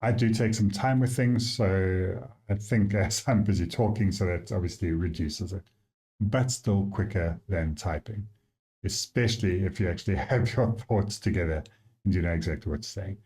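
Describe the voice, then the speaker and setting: A man with a South African accent, the app's developer, casually walking through his software and thinking aloud with frequent fillers. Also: deep voice, moderate pace. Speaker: app developer giving a demo.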